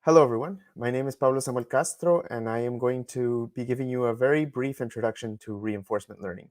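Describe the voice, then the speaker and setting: A middle-aged man from Ecuador, a Spanish speaker, giving a recorded lecture in a relaxed way with many ums and self-corrections. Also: mid-range voice, quick pace, no room sound, studio quality.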